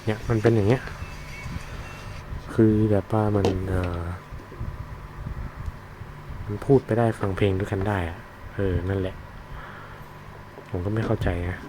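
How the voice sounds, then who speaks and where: A man giving a casual, unscripted product demonstration, explaining informally and haltingly as he searches for words. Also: fairly low pitch, fairly even intonation. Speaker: product reviewer demonstrating a gadget. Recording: noisy, with vehicle sounds behind.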